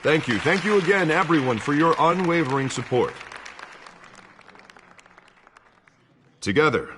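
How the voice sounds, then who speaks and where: An actor playing a middle-aged American politician on the campaign trail, glib and persuasive, in a video game cutscene. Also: male voice, on the high side, expressive, fairly quick, a crowd in the background, background noise, close to an everyday voice. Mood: showy, genial. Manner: glib, persuasive, theatrical speechifying. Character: smooth-talking, ambitious male politician on the campaign trail.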